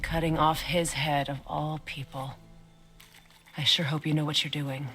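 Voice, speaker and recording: Low, bright-voiced commanding witch. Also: level tone, soft background music. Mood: focused, brisk. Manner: firm, imperative, measured. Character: powerful regal witch, guarded grown woman, commanding. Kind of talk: cinematic game acting.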